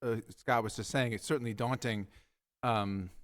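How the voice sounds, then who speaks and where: A man answers a press question as an actor, in measured, hesitant speech filled with small pauses. His voice is mid-pitched and plain. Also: fairly even intonation.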